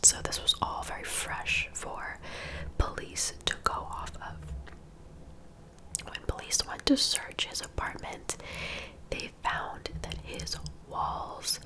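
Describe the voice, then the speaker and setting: A woman telling true crime stories in a soft, breathy ASMR whisper, in a gentle, informal, conversational way. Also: slow pace, low-quality recording.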